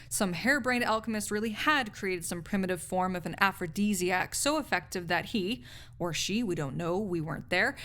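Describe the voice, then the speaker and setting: Woman playing cynical research assistant, female, medium pitch, articulate, wry lecturing manner, skeptical, conversational audio roleplay. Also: a bit bright, close to an everyday voice.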